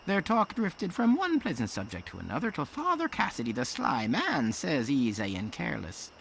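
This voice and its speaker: A man playing a folksy fireside storyteller with an Irish accent and a creaky voice, narrating a tale. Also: mid-range voice, huge pitch swings, moderate pace, fairly bright tone, a little hiss or hum, full character voice, poor audio. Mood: storytelling. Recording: music underneath.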